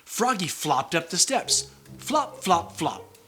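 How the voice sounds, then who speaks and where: A man co-hosting and narrating an animated children's read-aloud, with joking asides. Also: high voice, slow. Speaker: co-host and storybook narrator. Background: music.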